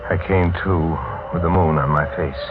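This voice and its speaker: An actor playing a tormented amnesiac drifter in a 1940s radio drama, with a brooding, intense delivery. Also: male voice, rumbling low, steady tone, moderate pace, noisy background. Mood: grim narration. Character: tormented amnesiac drifter, a man haunted by a name. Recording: dull 1940s radio recording, music behind.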